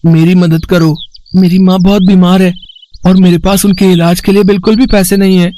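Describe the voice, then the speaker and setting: Man playing a humble, pleading young beggar, high-pitched. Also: flat delivery, normal speed, mellow, a little hiss or hum, natural voice. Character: poor, honest young beggar man with sick mother.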